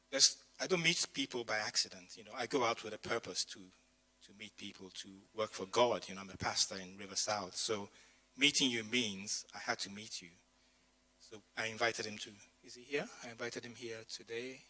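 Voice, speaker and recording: A man's voice: medium pitch, sharp tone, poor audio. A male pastor giving a chatty sermon to his congregation, his voice strained after losing it, with asides to the people in the room. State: voice strained, says he lost his voice. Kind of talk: sermon with personal stories. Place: church community hall.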